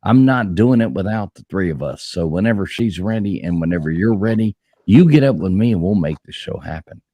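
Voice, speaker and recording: A man chats as a guest on a livestream panel, emphatic and quick to swear. His voice is low and swings widely in pitch. It is recorded dry. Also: lively intonation, warm tone, no echo. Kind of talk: casual chat.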